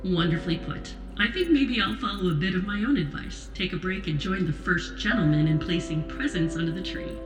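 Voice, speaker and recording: A woman's voice: on the low side, fairly quick, few pauses, natural voice. Woman acting polished president in audio drama, dry humor, echoey, music underneath. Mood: wry, gracious. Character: female president, gracious, wry, authoritative leader.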